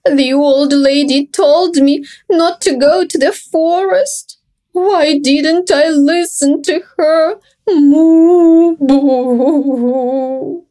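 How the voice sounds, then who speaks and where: A woman voicing a young female cow who moos loudly and wails when lost. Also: sits high, very animated, takes it slowly, exaggerated voice. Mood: tearful. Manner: loud mooing and wailing.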